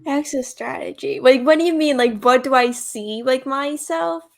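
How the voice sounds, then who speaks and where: A woman's voice: high-pitched, normal speed, vocal fry. A young woman co-hosting a podcast, talking casually and conversationally, often leaning on "like" and "basically". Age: young adult. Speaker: podcast co-host, organization founder.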